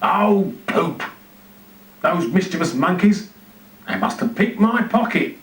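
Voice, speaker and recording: A man's voice: sits high, sing-song, slow pace, a bit bright, pitched above the performer's own voice, heavily acted. A man voicing a self-important, self-pitying zookeeper who mutters and exclaims dramatically to himself in a children's storybook. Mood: exasperated. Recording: roomy, distant TV speaker playback.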